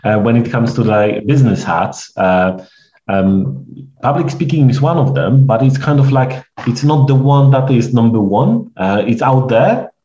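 Polish-accented man, dark mellow voice, halting rambling talk, echoey dull lo-fi recording. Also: medium pitch, expressive. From Poland. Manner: rambling, halting storytelling with frequent restarts. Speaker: guest, networking coach. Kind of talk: conversational podcast interview.

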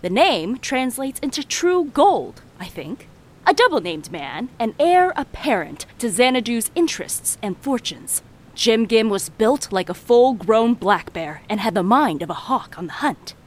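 An actress playing a confiding storyteller in an audio drama, narrating dramatically and straight to the listener. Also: female voice, fairly high pitch, very animated, unhurried. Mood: sly, suspenseful. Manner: dramatic, addresses listener directly. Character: sly, confiding woman storyteller. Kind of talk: theatrical scripted narration.

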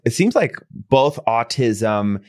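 A man's voice: mid-range voice, sing-song, slow pace, a little mellow. A man hosting a podcast, asking his guest curious, friendly questions in a casual conversation. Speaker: podcast host.

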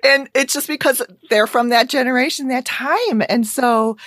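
A woman's voice: sits high, normal speed, a bit bright, no room sound, clean studio sound. A woman with an American accent hosting a podcast in a casual, conversational style. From suburban Chicago, United States. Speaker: podcast host. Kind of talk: casual interview chat.

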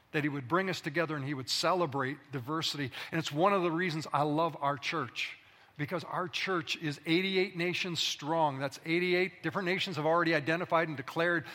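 Male pastor, sermon, emphatic, slightly high voice, long hall echo. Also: fairly bright tone. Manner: emphatic preaching, slows down to stress lists. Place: large church hall.

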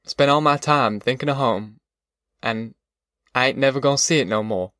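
A man's voice: mid-range voice, moderate pace, fairly bright tone, no echo, clean studio sound, natural voice. A man voicing a defeated, homesick middle-aged farmer in an audiobook, plainspoken and resigned, with a rural Southern American accent. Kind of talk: audiobook character dialogue.

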